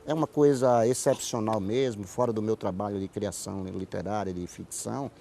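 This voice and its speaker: A man's voice: lowish voice, steady tone, normal speed, gravelly, mellow. Northeastern Brazilian man telling about his work. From Paraíba, Northeast Brazil. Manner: repeats words and phrases for emphasis. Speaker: writer and playwright, interview guest. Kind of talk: conversational interview answers, storytelling.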